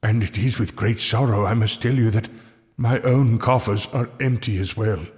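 An actor playing a kindly medieval English friar in an audio drama, speaking gently and earnestly in formal old-fashioned phrases. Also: male voice, lowish voice, fairly even intonation, moderate pace, lightly acted. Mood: gentle, concerned. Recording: echoey hall reverb with music.

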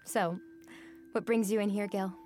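A woman's voice: mid-range voice, fairly even intonation, unhurried, darkish tone, faint music behind, close to an everyday voice. A woman playing a young, alluring tarot reader in a naturalistic audio drama, with a teasing, mystical manner. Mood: calm, mystical. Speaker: actress.

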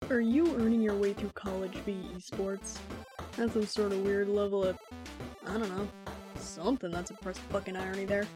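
A woman playing a flippant, rambling young sibling with a deadpan, slangy manner in an audio drama. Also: mid-range voice, moderate pace, music in the background, close to an everyday voice.

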